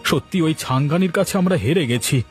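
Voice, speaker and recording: A man voicing an excitable village shopkeeper who cheats and repents in a moral cartoon, earnest and given to exclaiming. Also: fairly high pitch, fast, faint music behind, close to an everyday voice. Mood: remorseful. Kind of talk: Bengali moral cartoon acting.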